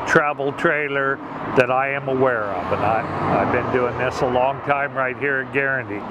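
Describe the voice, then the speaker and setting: A middle-aged man with a loud voice giving an enthusiastic sales walkaround, listing features one by one. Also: medium pitch, fairly even intonation, moderate pace. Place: outdoors by a trailer on a lot, then inside the trailer. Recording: camera audio with road noise. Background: road and traffic noise.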